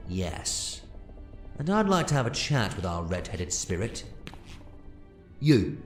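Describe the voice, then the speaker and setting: Eccentric, whimsical adventurer hero, male actor, hugely varied intonation, reassuring yet excitable, audio drama, faint music. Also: lowish voice, takes it slowly, echoey room. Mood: grave, resolute.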